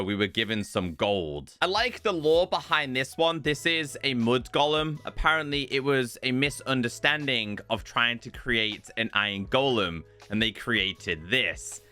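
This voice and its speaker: A man giving game showcase commentary as a video host, in an expressive, emphatic presenter's delivery. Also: medium pitch. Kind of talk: game showcase commentary, part scripted narration, part live reaction. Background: music.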